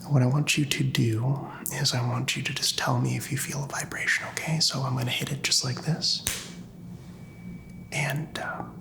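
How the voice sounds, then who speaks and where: Softly whispering man, ASMR doctor roleplay. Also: mid-range voice. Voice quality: soft, breathy whisper. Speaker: ASMR performer playing a doctor. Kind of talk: ASMR roleplay of a medical exam. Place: small doctor's office.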